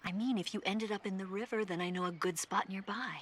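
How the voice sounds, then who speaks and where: A woman's voice: medium pitch, a little fast, natural voice. An actress playing a confident, teasing young female spy in a video game, with noise audible on the recording. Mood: teasing, decisive.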